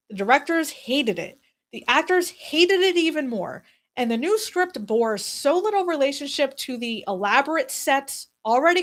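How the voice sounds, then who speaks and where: A woman narrating a scripted video essay as host, speaking emphatically in short punchy phrases with dramatic pauses. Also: sits high.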